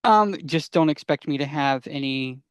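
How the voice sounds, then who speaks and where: A man's voice: fairly high pitch, animated, normal speed, smooth, dry recording, clean studio sound. A man co-presenting a podcast in casual explanatory chat, wry and rambling, repeating words for emphasis.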